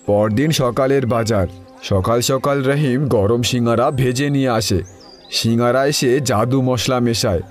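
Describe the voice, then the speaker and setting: A man reads scripted cartoon narration in a mid-pitched voice. A faint music bed runs underneath him. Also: unhurried.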